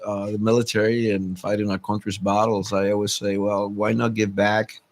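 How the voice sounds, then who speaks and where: A middle-aged man, a city council candidate, speaking earnestly in a radio talk show interview, pausing often with fillers. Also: fairly low pitch, level tone. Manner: halting, many fillers, earnest. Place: radio studio.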